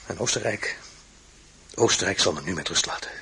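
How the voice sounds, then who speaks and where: A man playing a proud, restless, commanding emperor in an audio drama, with a raspy, forceful voice. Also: low voice, expressive, fairly quick, full character voice. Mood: brooding. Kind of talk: Dutch audio drama acting.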